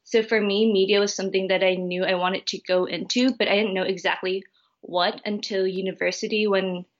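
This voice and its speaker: A young woman from Thailand, a university student, answering interview questions in a polite, relaxed and friendly way. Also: mid-range voice, fairly quick, highs cut off. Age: young adult. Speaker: guest student.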